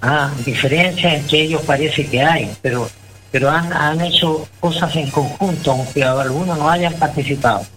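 A man's voice: fairly high pitch, normal speed, fairly bright tone, faint music behind, poor audio. A man with a Chilean accent co-hosting a radio talk show, giving long opinionated commentary with many restarts. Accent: Chilean Spanish. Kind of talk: casual political talk on radio.

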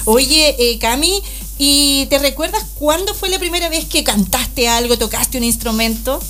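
A woman hosting a radio show and interviewing a guest in a chatty, expressive way. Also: high voice, a little slow, a little hiss or hum. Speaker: radio host.

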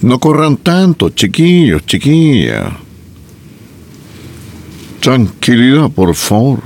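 A man's voice: mid-range voice, big pitch swings, slow pace, a little mellow, a little hiss or hum. A man with a Chilean accent presenting the local morning news on radio, reading items and adding folksy, chatty asides.

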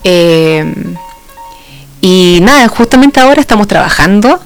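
A woman's voice: mid-range voice, lively intonation, measured pace, smooth, soft background music. A woman from Chile with a Chilean accent, speaking as a guest in a casual radio interview. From Santa Cruz, Chile. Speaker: guest, folk ballet dancer.

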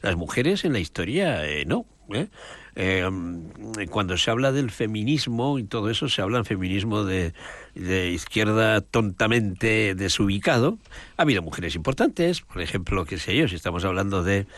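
A man's voice: fairly low pitch, lively intonation, normal speed, a bit bright, dry recording. A man, a priest, talking casually about women in the Gospels, rambling and often searching for names. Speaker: priest guest. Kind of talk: casual religious talk on a show.

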